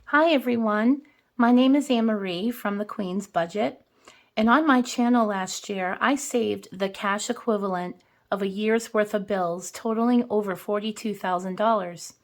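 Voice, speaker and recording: A hoarse woman hosting a casual budgeting vlog, chatting and counting bills aloud. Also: medium pitch. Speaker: budgeting channel host. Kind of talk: casual cash-stuffing vlog.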